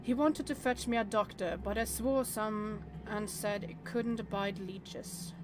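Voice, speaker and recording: A woman game streamer reading a visual novel aloud with casual commentary, often hesitant and thinking out loud in fragments. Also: medium pitch, unhurried, noisy background.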